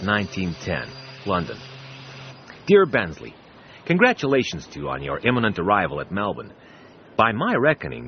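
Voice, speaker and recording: An actor playing a smooth-tongued wealthy gentleman reading a formal letter in a measured way, for a radio drama. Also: on the low side. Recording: dull sound with faint noise.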